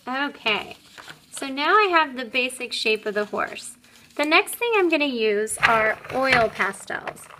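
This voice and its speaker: A woman's voice: high voice, lively intonation, unhurried, noisy background. A woman, an elementary art teacher, demonstrating a craft step by step in a simple, upbeat way for young children.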